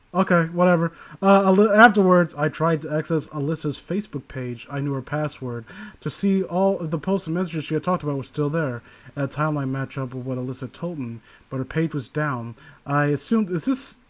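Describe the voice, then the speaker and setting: A man casually reading aloud and commenting, sardonic and prone to profane asides. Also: fairly high pitch. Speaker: host reading and critiquing internet horror stories.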